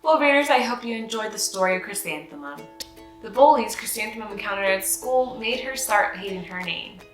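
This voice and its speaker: A woman's voice: medium pitch, animated, brisk. Woman reading a picture book, roomy sound. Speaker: children's read-aloud storyteller. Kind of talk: scripted picture-book narration. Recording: roomy echo with faint music.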